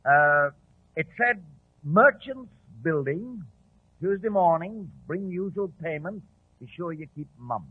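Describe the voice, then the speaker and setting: A man's voice: fairly high pitch, lively intonation, takes it slowly, breathy edge, no room sound, heavily acted. An actor playing an officious, blustering male Scotland Yard inspector in a 1940s radio drama. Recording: tinny, dry old 1940s radio recording.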